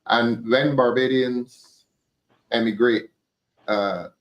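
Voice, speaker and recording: A man's voice: medium pitch, fairly even intonation, slow pace, muffled recording. Inquisitive man hosting a talk show. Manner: inquisitive, with playful asides. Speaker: host. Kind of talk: live talk show interview.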